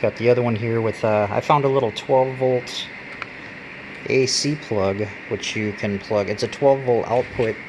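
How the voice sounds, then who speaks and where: A man giving a casual, unscripted hands-on gadget demonstration, halting and pausing as he handles things. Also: mid-range voice. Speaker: product reviewer. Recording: steady background hum and noise.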